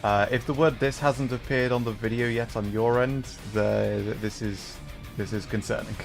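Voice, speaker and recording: A man's voice: medium pitch, steady tone, moderate pace, gravelly. A man hosting a gaming livestream, chatting casually in a wry, self-deprecating way. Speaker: livestream host, gamer. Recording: background music behind voice. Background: music.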